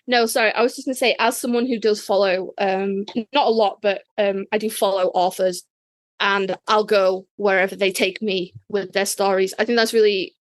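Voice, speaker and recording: A woman's voice: mid-range voice, steady tone, moderate pace, no room sound. Enthusiastic woman podcast host. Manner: enthusiastic, casual, swears lightly. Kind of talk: casual podcast conversation.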